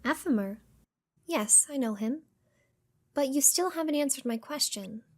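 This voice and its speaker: Woman acting a high-voiced, gentle young female master. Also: expressive, measured pace. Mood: gentle, composed. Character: young female master, gentle but firm, mysterious fighter. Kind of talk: video game fan dub acting. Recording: music under the voice.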